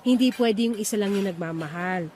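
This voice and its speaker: A woman's voice: mid-range voice, a little fast, darkish tone, close to an everyday voice. A woman playing an outspoken, playful young single woman, an old sweetheart, in radio drama dialogue. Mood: frank, opinionated. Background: music.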